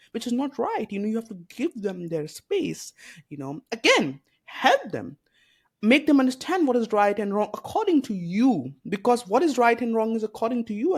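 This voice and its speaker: A podcast host makes the case earnestly and with strong opinions in a casual conversation. The voice sits at a middling pitch, and "you know" keeps coming up between rhetorical questions. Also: husky.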